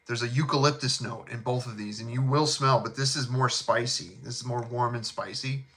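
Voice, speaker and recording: A man's voice: medium pitch, fairly even intonation, moderate pace, a bit bright. A middle-aged man with a thick Chicago South Side accent, chatting casually about fragrances in a rambling, chummy way. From Chicago, USA. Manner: laid-back, rambling, chummy, with fillers and laughs. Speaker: fragrance channel host. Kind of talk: casual live chat.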